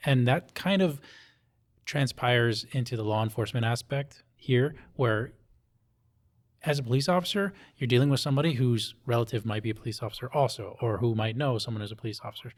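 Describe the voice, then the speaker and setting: A man's voice: medium pitch, fairly quick. A man from Hawaii speaking conversationally as an interview guest, explaining things and often checking in with short tag questions. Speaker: police officer interviewee.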